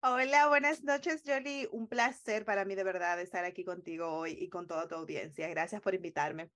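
A woman's voice: fairly low pitch, moderate pace, a bit bright. A woman with a Dominican accent speaking as a coach and interview guest, explaining things in an earnest, emphatic, conversational way. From Dominican Republic.

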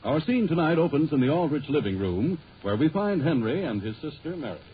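Animated American radio announcer, tinny old recording. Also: male voice, on the high side, a little fast, a little hiss or hum. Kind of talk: 1940s radio scripted narration.

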